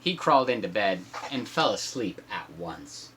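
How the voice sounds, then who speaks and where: A man with a husky voice reads a picture book aloud in an animated, playful way, with teasing asides as a father would to his child. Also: on the low side, normal speed, a little hiss or hum. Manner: acts out shouts and sound effects, teasing.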